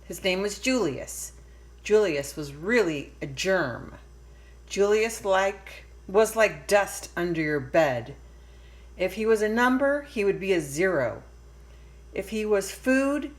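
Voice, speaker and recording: A woman's voice: mid-range voice, expressive, slow pace. Woman acting a dramatic, jealous little mouse girl. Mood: scornful storytelling. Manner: dramatic, scornful. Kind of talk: read-aloud storybook.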